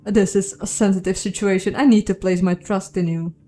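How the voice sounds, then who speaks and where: A woman voicing a self-important, distrustful male magistrate in a video game, brisk, officious and demanding. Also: medium pitch, steady tone, mellow, soft background music, natural voice. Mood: grave, insistent. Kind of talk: video game character reading.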